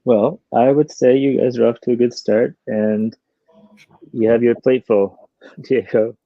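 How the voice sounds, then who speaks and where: A male interviewer with a medium-pitched voice chats casually. The sound is dry and close, with a somewhat muffled, dull quality. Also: steady tone, unhurried, dry recording. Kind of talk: casual, conversational.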